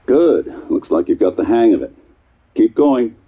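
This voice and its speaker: An actor playing a chatty, distracted scientist in a video game, husky and encouraging in a patronising way as he supervises tests. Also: fairly low pitch, sing-song, a little slow, dark tone, exaggerated voice. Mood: breezy, encouraging. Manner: patronising, encouraging, hesitant asides. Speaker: test supervisor. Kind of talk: game voice acting.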